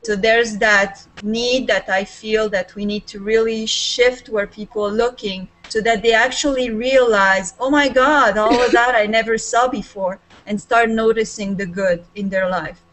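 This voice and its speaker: A woman's voice: a little high, fairly even intonation, measured pace, few pauses, a bit bright, poor audio. A woman in her forties with a Serbian accent, talking earnestly and enthusiastically as a panelist on a video call from a small room at home. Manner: enthusiastic, gestures with both hands. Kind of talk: earnest spontaneous talk. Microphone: webcam on a video call.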